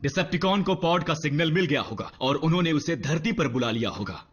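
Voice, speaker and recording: A man voicing a skeptical, level-headed robot teammate with a dry, deliberate manner in a cartoon dub. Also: a little high, expressive, slightly rough. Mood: dry, wary. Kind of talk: animated series dub acting. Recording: dull, lo-fi dub audio.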